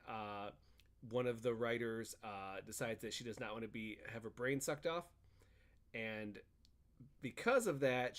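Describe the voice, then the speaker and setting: A man co-hosting a casual chat, recapping a video game in a rambling, animated storytelling way. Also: mid-range voice. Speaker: podcast co-host.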